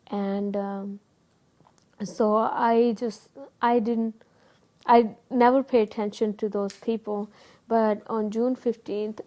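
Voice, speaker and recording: A woman's voice: mid-range voice, dark tone. Pakistani woman, earnest and hesitant. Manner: earnest, hesitant, many fillers and restarts. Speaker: guest telling her story. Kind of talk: personal testimony.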